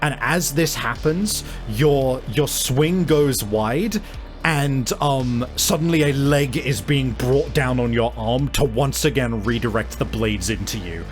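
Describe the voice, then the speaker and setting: Man, tabletop game master. Unhurried, halting, casual narration over music. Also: mid-range voice. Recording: music playing behind. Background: background music.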